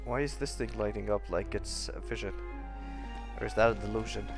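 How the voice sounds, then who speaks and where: A man's voice: fairly low pitch, fairly quick. A man giving casual, off-the-cuff commentary while playing a video game. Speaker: gaming streamer. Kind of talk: casual gameplay commentary, reading in-game notes aloud. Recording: game music behind the voice. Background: video game music.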